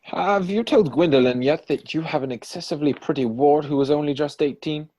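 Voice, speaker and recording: A man acting a witty, idle young Victorian gentleman in a stage comedy reading, arch and teasing, recorded at home over a video call. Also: on the high side, fairly quick, close to an everyday voice. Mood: amused. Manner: arch, teasing, mannered phrasing.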